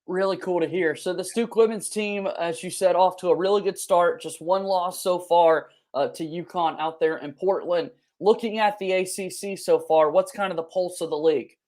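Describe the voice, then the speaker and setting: A man's voice: high-pitched, normal speed, hardly any pauses, darkish tone. A man hosting a sports podcast, asking questions and setting up topics in a conversational interview style.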